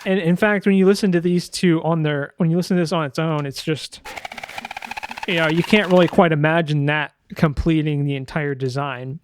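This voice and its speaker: A man's voice: a little high, fairly even intonation, normal speed, slight background noise. A man giving an informal sound design tutorial, thinking aloud in a hesitant way as he demonstrates. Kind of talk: informal screen-share tutorial narration.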